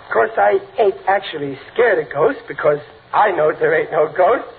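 A man's voice: high-pitched, lively intonation, normal speed, vocal fry, slightly rough, full character voice. An American actor playing a kindly, plain-spoken working man in a 1940s radio comedy, with a folksy, hesitant, earnest way of talking. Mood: bashful, nervous. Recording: tinny, band-limited old radio with faint noise.

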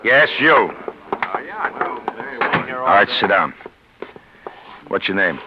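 A tough little older boss in a forties radio crime play. His voice sits low, and he talks in curt, needling, bossy bursts. The old recording sounds dull and boxed in. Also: expressive, very slow, noisy background. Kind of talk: 1940s radio drama acting.

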